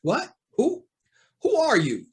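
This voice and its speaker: A man's voice: extremely high pitch, huge pitch swings, takes it slowly, a little mellow, heavily acted. A man playing a troubled, guilt-ridden judge, abrupt and defensive, in old-time radio drama style. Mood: alarmed, indignant. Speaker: actor in a radio play.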